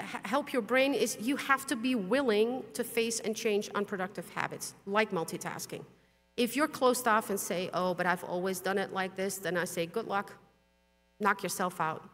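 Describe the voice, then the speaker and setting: Woman, Dutch first language, middle-aged, blunt conference speaker, mid pitch, echoing hall. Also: bright, forceful tone. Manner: frank, blunt, with mild swearing. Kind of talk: conference talk with slides. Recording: long hall reverb.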